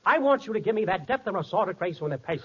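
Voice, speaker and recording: A man's voice: high voice, lively intonation, a bit bright, husky, heavily acted. A man playing a fussy film director who slips earnest gibberish into his sentences, in 1940s radio comedy. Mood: indignant. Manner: rapid double-talk, nonsense slipped into sentences. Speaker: comic supporting actor. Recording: muffled 1940s radio recording, studio audience.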